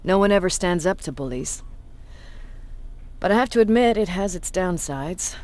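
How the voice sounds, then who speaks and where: A cynical military commander in a video game voiced by an actor. The voice sits at a middle pitch and moves deliberately. It is world-weary, condescending and quietly intimidating, like a self-confessed bully addressing a subordinate. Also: expressive, close to an everyday voice. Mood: cold, wry. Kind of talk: video game cutscene acting, dramatic monologue.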